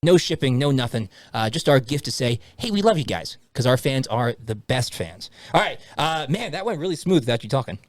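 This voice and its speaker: A man's voice: mid-range voice, lively intonation, brisk, few pauses, no echo. A man hosting a podcast in casual banter, with an upbeat show-intro delivery. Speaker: podcast host.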